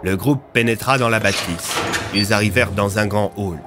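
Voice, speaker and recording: A man's voice: on the low side, moderate pace, music in the background. A man narrating a scripted audio drama as a storyteller.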